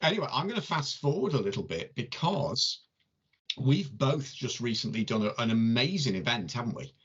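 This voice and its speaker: A woman's voice: a bass voice, big pitch swings, normal speed, husky, dull audio. A woman from North West England with a Liverpool accent, hosting a casual chat and calling people darling and love. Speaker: host.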